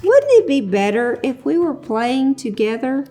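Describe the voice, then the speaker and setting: A bright, easygoing little kitten from a children's storybook, as a woman voices him while reading aloud. He sounds upbeat and sing-song, with a lively voice that swings up and down and takes its time. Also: sits high, a little slow, full character voice. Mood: cheerful. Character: cheerful young boy kitten, easygoing.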